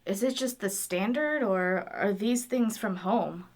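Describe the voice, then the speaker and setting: A woman playing a calm, practical wanderer in audio drama dialogue, with a dry, measured, helpful manner. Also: medium pitch, natural voice.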